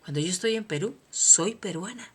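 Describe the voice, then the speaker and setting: A Colombian-accented woman hosts a personal motivational monologue in a mid-range voice. She talks conversationally but preaches, letting her volume climb on emphatic declarations before falling back to quiet, almost whispered asides. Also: mid-range voice, lively intonation, moderate pace, husky. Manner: emphatic, preaching, swings from soft to loud. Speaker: host, motivational speaker.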